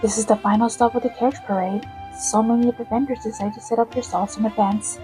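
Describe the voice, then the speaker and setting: A woman's voice: on the high side, fairly even intonation, quick pace, warm tone, low-quality recording. A woman doing amateur video game voice acting in a polite, earnest manner. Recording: amateur recording with music behind.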